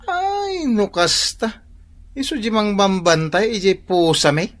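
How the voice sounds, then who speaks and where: A man playing an excitable, nervous, bumbling house guard in a comic radio drama, with a stammering, exclaiming delivery. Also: sits high, slow pace, exaggerated voice. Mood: boastful.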